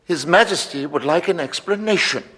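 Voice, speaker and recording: A menacing male courtier in a radio drama, formal and threatening. His rough, forceful voice swings expressively and rings through a long hall reverb. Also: fairly high pitch, expressive, normal speed. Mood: menacing, indignant. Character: stern, menacing male court official of the Sultan. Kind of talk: audio drama acting.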